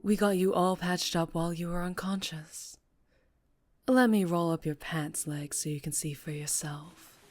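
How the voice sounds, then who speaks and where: A woman playing a dangerously charming female mafia boss in an ASMR roleplay, teasing and deliberate, often slipping into whispers. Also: fairly low pitch, fairly even intonation, dry recording, faint music behind. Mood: soft threat.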